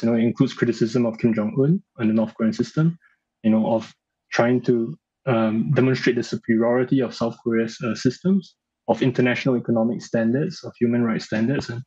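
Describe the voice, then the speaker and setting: A man in his thirties giving analysis in a news interview, speaking haltingly and repeating phrases as he searches for words. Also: medium pitch, fairly even intonation, dark tone, breathy edge. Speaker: analyst guest. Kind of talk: live news interview commentary. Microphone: video call. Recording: slightly dull video-call audio.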